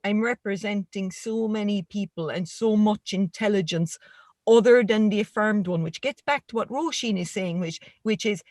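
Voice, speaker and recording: A woman's voice: medium pitch, normal speed, darkish tone, dry recording, studio quality. A woman with a rural Irish accent speaking earnestly and emphatically as a panelist in a discussion. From Ireland.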